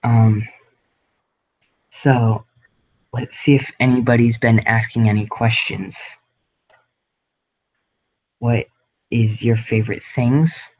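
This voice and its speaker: A man's voice: mid-range voice, steady tone, slow, long pauses, a little mellow, muffled recording. A young man hosting a casual livestream from his home grow room, rambling with lots of ums and likes. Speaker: livestream host.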